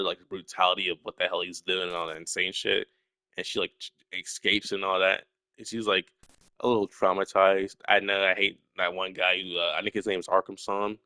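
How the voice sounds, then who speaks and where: A man's voice: deep voice, sharp tone, no echo. Young man rambling with a stutter. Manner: stutters, filler-heavy, restarts often. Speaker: solo video creator. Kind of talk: rambling casual monologue.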